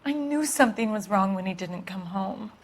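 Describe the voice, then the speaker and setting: An actress playing an anxious, genteel housewife in a crime game, her voice slightly husky. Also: medium pitch, expressive, moderate pace. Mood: subdued, worried. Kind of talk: cinematic game acting.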